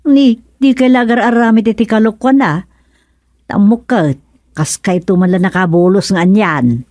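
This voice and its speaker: A woman's voice: medium pitch, normal speed, a little mellow, no room sound, close to an everyday voice. An actress playing a worrying, nagging older village wife and mother with an Ilocano accent in a radio drama comedy.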